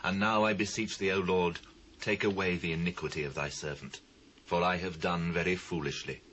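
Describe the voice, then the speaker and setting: Solemn old testament king, british, low voice, grave and devout, bit of tape noise. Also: fairly even intonation, normal speed, a bit bright. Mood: penitent, anguished. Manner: grave, declamatory. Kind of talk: dramatised scripture reading. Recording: noisy old cassette transfer.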